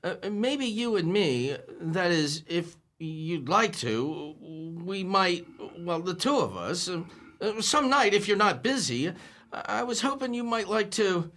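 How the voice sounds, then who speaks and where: A man's voice: sits high, expressive, unhurried, a bit bright. Man acting a stammering, bashful young clerk. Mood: bashful, flustered. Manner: stammering, hesitant, flustered. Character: nervous, bashful, bumbling young male store clerk in love. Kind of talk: old-time radio sitcom acting.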